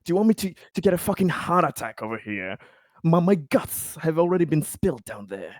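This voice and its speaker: A man's voice: on the high side, very animated, fairly quick, no echo, studio quality, voice pushed up. A man playing an injured, paranoid colonist in a tabletop game, stammering and repeating himself. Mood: frightened, indignant.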